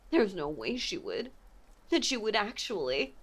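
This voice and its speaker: A woman playing an obsessive, possessive mafia heiress ex-lover in an intimate audio drama, speaking with menacing sweetness and often slipping toward a breathy whisper. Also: mid-range voice, big pitch swings, unhurried, slight background noise. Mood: soft threatening. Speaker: voice actor. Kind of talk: intimate dramatic roleplay monologue.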